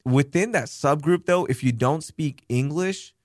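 A young man, born in Pakistan, hosting a casual podcast chat, telling candid, animated stories with some swearing. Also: on the high side, a little slow, no room sound, clean studio sound. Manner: candid, animated storytelling with profanity. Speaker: podcast host.

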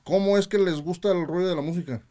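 A man's voice: sits high, fast talker, warm tone. A man with a Mexican Spanish accent hosting a casual interview in colloquial, slangy speech, often echoing what guests say. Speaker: podcast host, interviewer.

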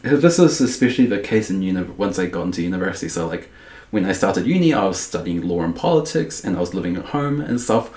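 Young kiwi guy with a deep voice, rambles a lot, says like constantly, echoey room. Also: expressive, fast talker, darkish tone. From New Zealand. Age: young adult. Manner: rambling, heavy use of "like", many restarts. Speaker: guest. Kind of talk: casual podcast chat.

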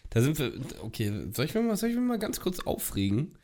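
A young man co-hosting a podcast, hoarse from a cold, rambling and complaining in casual chat with plenty of swearing. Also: on the high side, wildly swooping pitch, brisk, warm tone. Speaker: podcast co-host.

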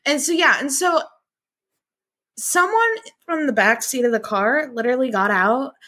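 A woman co-hosts over a remote call, her voice bright and a little high. She tells stories in an animated, exasperated way and swears freely. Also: moderate pace. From Nebraska, USA. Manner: animated, profane, exasperated storyteller. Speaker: podcast co-host. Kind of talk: casual podcast chat.

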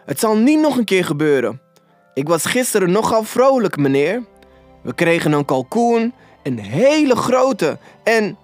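A man's voice: sits high, big pitch swings, measured pace, dry recording, soft background music, voice pushed up. A man voicing a meek, nervous clerk in a storybook, stammering, apologetic and pleading. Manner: stammering, deferential.

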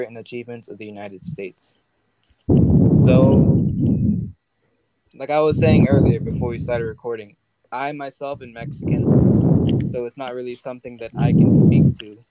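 Man, podcast host, easygoing and rambling, loud noise and clicks behind him. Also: medium pitch. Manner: loose and rambling, many "like"s. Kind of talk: casual podcast chat.

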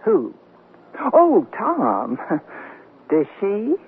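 Teasing newlywed wife, swooping, noisy radio. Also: female voice, medium pitch, wildly swooping pitch, very slow, phone-quality sound, full character voice. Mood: playful jealousy. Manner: dry, bantering. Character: newlywed wife, teasing and jealous of old flames. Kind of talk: old-time radio comedy acting. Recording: thin, noisy vintage radio recording.

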